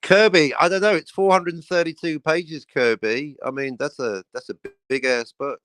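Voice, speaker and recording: A man's voice: a little high, fairly even intonation, moderate pace, fairly bright tone, dry recording. A man bantering on a livestream in a teasing, wry, mock-grumpy way.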